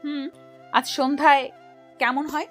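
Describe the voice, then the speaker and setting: A high female voice of an actress plays a coy young girlfriend in an audio drama. She sounds shy and sweet-talking, with faint music behind her. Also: little pitch movement, a little slow, a bit bright. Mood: coy, delighted. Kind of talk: Bengali audio story acting.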